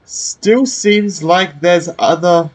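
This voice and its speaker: A man's voice: sits high, very slow. A man hosting a gaming video, giving casual play-along commentary in short, offhand reactions. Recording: faint game music behind.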